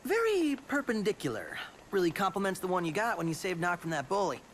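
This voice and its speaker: An actor playing a playful, excitable young male sidekick in a video game, full of friendly banter. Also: high-pitched, a little fast, slight background noise. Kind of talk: dramatic video game acting.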